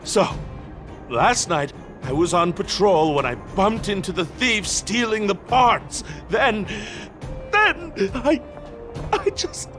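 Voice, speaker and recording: A man voicing a nervous, easily frightened night patrolman in a video game, stammering and halting as he talks. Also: high voice, big pitch swings, a bit bright, faint music behind, exaggerated voice. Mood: shaken, frightened.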